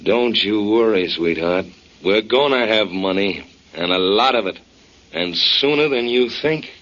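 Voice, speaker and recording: Low-voiced, folksy, sly airline man. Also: expressive, measured pace, a bit bright. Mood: sweet-talking, conniving. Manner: folksy drawl-like talk with homespun exclamations. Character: folksy, sly airline man, secretly romancing partner's wife. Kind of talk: 1940s radio drama acting. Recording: muffled, hissy 1940s radio recording.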